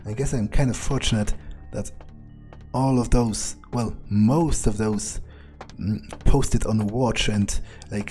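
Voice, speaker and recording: A man acts out a sardonic, wary male vampire in a video game, thinking aloud in a low, mellow voice. He mutters very slowly, crude and hesitant, pausing as he muses. Faint music plays behind him. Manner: muttering, hesitant, musing with pauses and swearing. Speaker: gamer playing in character.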